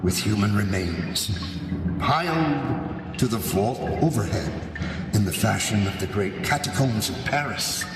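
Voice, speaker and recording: Slow, scheming nobleman, cavernous echo. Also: fairly low pitch, expressive, music in the background. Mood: grave, confiding. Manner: deliberate, dramatic storytelling. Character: scheming vengeful nobleman narrator, falsely courteous, coolly contemptuous. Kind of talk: theatrical gothic radio drama narration and dialogue. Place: large church hall, live before an audience. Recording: echoing cavernous hall, noisy live recording.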